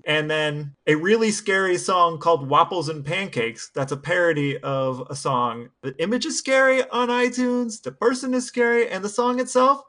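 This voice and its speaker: A man's voice: high-pitched, normal speed, few pauses, pushed and bright. A man co-hosting a podcast in a casual, conversational style. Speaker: podcast co-host. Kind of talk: casual chat.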